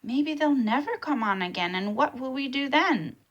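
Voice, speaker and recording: A woman's voice: high-pitched, lively intonation, normal speed, a bit bright, dull audio, voice pushed up. A woman voicing an eager, curious young boy who questions his grandfather in a storybook read-aloud. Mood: wondering. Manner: exclaiming, questioning.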